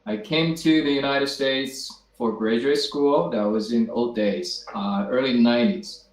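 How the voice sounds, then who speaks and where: A man in his fifties from China, native Chinese speaker, introducing himself as a guest on a video call, measured with frequent fillers. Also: mid-range voice. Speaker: guest, company founder. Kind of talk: self-introduction. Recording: roomy echo.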